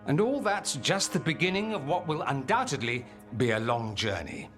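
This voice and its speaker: High-voiced, sardonic rebel mage. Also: male voice, very animated, normal speed, fairly bright tone. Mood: sardonic, insistent. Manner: theatrical, articulate, arch. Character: brash, eloquent male mage rebel, sardonic and impatient. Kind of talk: dramatic fantasy game acting. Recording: music under the voice.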